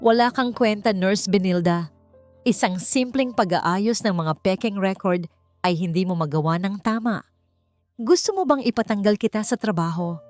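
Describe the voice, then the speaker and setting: A woman's voice: mid-range voice, normal speed, a little mellow, soft background music, close to an everyday voice. Woman voicing a harsh, bullying male doctor. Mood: angry, scornful. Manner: harsh, scolding. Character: cruel, bullying male doctor berating his nurse.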